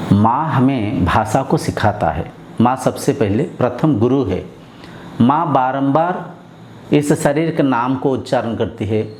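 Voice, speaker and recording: A man giving a measured spiritual discourse as a teacher, explaining step by step and asking his listeners rhetorical questions. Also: mid-range voice, a little mellow. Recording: roomy echo with faint ambient noise.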